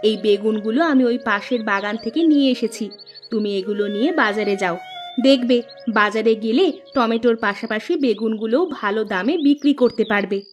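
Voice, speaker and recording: A woman playing a bossy, practical village housewife in a Bengali cartoon, talking briskly and scoldingly. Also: on the high side, close to an everyday voice. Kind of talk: Bengali moral-story cartoon dubbing. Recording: dull lo-fi track with music.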